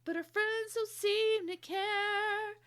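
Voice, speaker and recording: A woman performs a confiding, awkward teenage girl in musical theatre song. The voice is very high and bright. Her phrases are slow and drawn out, earnest in feeling. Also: steady tone, full character voice. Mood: confiding, yearning.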